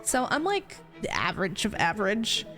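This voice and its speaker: A woman's voice: mid-range voice, huge pitch swings, moderate pace, low-quality recording. A woman in her twenties with an American accent, a native Spanish speaker, chatting casually and playfully as a streamer introducing herself. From Ohio, United States. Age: 20s. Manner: playful, giggly, self-deprecating. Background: music.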